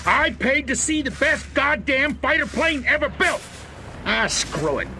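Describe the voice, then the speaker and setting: A man playing a blustering, angry middle-aged American military officer in a video game cutscene, gruff and profane. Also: very high voice, very animated, a little slow, pushed and bright, slight background noise, full character voice.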